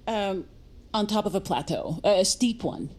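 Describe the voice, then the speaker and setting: An actress playing a hesitant, earnest young American woman in a naturalistic audio drama, speaking in a halting, awkward, wry way. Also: medium pitch, expressive. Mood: nervous, hesitant.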